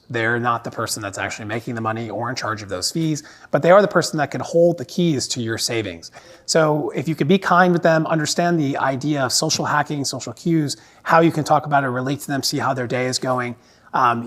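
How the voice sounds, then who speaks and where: A man hosting an explainer monologue, talking in a conversational, instructive way. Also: a little high. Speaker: host. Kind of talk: explainer monologue giving money tips.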